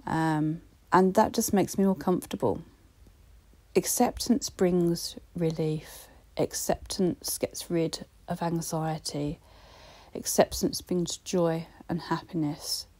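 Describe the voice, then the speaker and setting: Mellow, low-voiced British woman podcasting. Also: fairly even intonation, takes it slowly. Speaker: podcast host. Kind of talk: reflective solo podcast talk.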